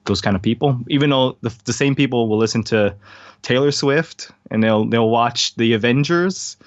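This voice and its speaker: A man's voice: medium pitch, normal speed, no echo. A man from Hawaii, an interview guest, talking in a laid-back, rambling way full of fillers. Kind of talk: casual conversational interview. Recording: dull lo-fi dry audio.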